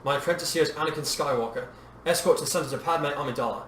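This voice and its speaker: A man voicing an older bearded warrior in a story, formal, measured and authoritative. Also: a little high, a bit bright, lightly acted. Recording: roomy echo, distant mic, faint noise.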